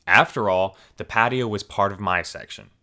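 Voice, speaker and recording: A man hosting a story channel, reading forum posts aloud in a steady, even storytelling manner. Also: fairly low pitch, normal speed.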